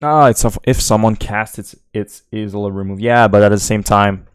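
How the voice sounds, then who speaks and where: A man's voice: lowish voice, fairly even intonation, moderate pace, clear, resonant tone, no room sound, studio quality. A French Canadian man whose first language is French, streaming a card game and thinking aloud while answering chat questions. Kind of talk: live gameplay commentary.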